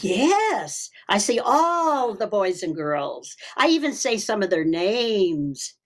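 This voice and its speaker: A woman's voice: mid-range voice, very animated, slow pace. Senior female storyteller guest, mid-range voice, cheerful folksy conversational delivery. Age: 60s or older. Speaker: interview guest, children's story reader and teacher. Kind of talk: casual chat.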